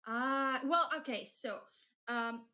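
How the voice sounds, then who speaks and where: Chatty Polish-speaking woman streamer, high voice. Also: slow, pushed and bright. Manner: chatty, many fillers and restarts, laughs often. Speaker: streamer and fanfic writer. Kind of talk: casual live-stream chat.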